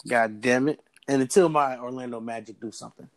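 A man's voice: medium pitch, fairly quick, a crowd in the background. A young man from Florida co-hosting a podcast, telling stories in casual, profane banter and laughing often. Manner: profane, laughing, animated storytelling. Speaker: podcast co-host.

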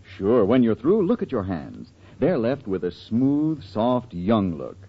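A man's voice: on the low side, huge pitch swings, takes it slowly, husky. A man reading a scripted commercial as a 1940s radio announcer. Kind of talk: 1940s radio advertising read. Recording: muffled old-time radio recording.

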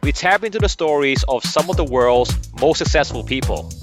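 A man's voice: on the high side, animated, a little fast, over music. A man hosting a podcast, who reads a scripted welcome and then chats casually with his guest, laughing often. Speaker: podcast host.

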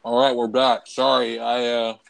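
Male podcast host, mid-pitched voice, dry sound, informal and sweary movie-chat delivery. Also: level tone, moderate pace, gravelly, fairly bright tone, no room sound. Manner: informal, profane. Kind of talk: casual movie review chat.

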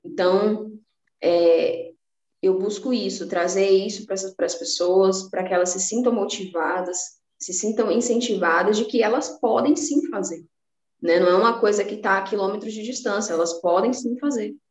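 A woman's voice: mid-range voice, normal speed, a little mellow. Woman from Salvador, echoey room. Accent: Bahian Brazilian Portuguese. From Salvador, Bahia, Brazil. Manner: earnest, motivational, many fillers and restarts. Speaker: podcast guest, personal finance influencer. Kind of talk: casual interview chat.

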